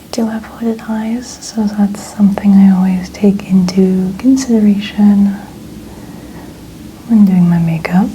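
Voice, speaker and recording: A woman speaks in a breathy, unvoiced whisper at a medium pitch, in the style of ASMR. She narrates small steps gently and without hurry. There is clear noise behind her voice. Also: fairly even intonation, poor audio. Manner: gentle, unhurried, softly narrating each step. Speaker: ASMR content creator.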